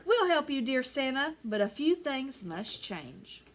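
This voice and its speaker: A woman's voice: high voice, sing-song, a little slow, slight background noise. A woman voicing a fed-up, demanding reindeer in a storybook, speaking firmly. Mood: bargaining.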